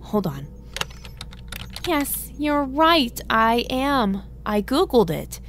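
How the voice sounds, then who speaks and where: An actress performs a blasé female insurance agent in an audio comedy. Her voice sits high, and she delivers everything deadpan and unbothered. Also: extremely slow, a little hiss or hum, heavily acted. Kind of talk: comedy audio drama acting.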